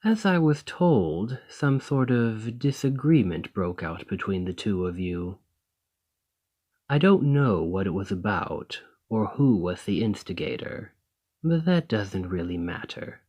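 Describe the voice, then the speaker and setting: A man's voice: lowish voice, sing-song, a little mellow. A man playing an ambitious foreign noble in a fantasy audio roleplay, speaking in a formal, composed, courteous and persuasive manner. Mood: measured, matter-of-fact. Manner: formal, measured, deliberate, condescendingly sympathetic. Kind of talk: scripted fantasy ASMR roleplay monologue.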